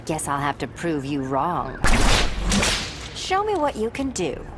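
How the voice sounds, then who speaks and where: A cool, flirtatious cat burglar for a video game. The voice sits on the low side and moves around a lot, teasing, amid heavy noise. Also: female voice, huge pitch swings, measured pace, exaggerated voice. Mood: sly teasing. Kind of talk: video game character dialogue. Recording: very noisy game effects behind.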